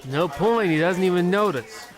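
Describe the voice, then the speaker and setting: A man's voice: sits high, animated, normal speed, over music. A man playing a sardonic, dismissive postal clerk in an old-time radio comedy style. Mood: dry scorn. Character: sardonic, dismissive male postal clerk who joins the mockery.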